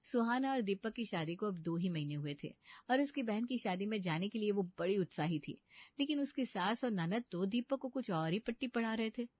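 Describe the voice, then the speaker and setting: A woman's voice: mid-range voice, animated, fairly quick, no room sound. A woman narrator reading a scripted comic family story. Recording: dull, lo-fi studio voice-over.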